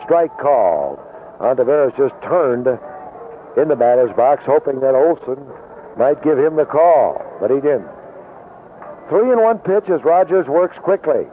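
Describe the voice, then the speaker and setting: A man's voice: medium pitch, big pitch swings, a little slow. An American man calling baseball play-by-play in the style of 1970s radio commentary. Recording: tinny noisy vintage AM radio broadcast.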